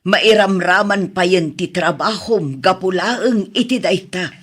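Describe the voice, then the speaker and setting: A woman playing a worried, reproving middle-aged mother with a husky voice and an Ilocano accent in an audio drama. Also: on the low side, measured pace, fairly bright tone, faint music behind.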